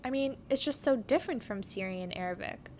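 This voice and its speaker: A woman's voice: fairly high pitch, expressive, fairly quick, darkish tone, a little hiss or hum. A young woman hosting a podcast, telling her story in a reflective, scripted narration. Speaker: podcast host.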